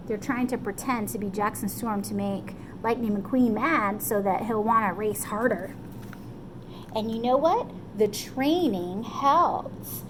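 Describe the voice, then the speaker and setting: A woman telling a story to children in an animated, encouraging way, often checking in with her listeners. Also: on the high side, noisy background. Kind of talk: animated children's storytime retelling, loosely paraphrased.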